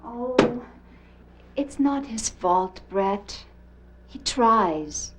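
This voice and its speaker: A woman's voice: a little high, expressive, drawn-out words, very noisy. An actress playing a bitter, strained, long-suffering wife and mother in a 1980s educational film. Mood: excusing. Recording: dull old VHS film soundtrack.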